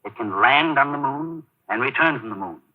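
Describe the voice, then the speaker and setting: An actor playing a weary, middle-aged Air Force general with a grave, authoritative manner in a 1950s radio drama. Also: male voice, mid-range voice, animated, normal speed, natural voice. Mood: grave, urgent. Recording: dull old radio broadcast.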